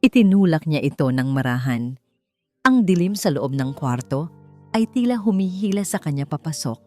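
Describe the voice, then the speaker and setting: A Filipino woman reads a dramatic audio story in a fairly low voice. Her delivery is deliberate and suspenseful, with pauses for weight. Light music plays behind her. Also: lively intonation, warm tone. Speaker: audiobook narrator. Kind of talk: dramatic scripted story narration. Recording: music bed under narration. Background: background music.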